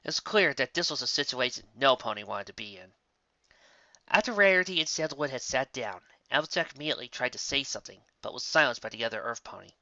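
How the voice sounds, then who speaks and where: An expressive narrator reads a story aloud, dropping in casual asides. The voice is bright and mid-pitched, recorded very dry with a slightly muffled, lo-fi sound. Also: fast, no echo. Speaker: narrator reading fan fiction aloud.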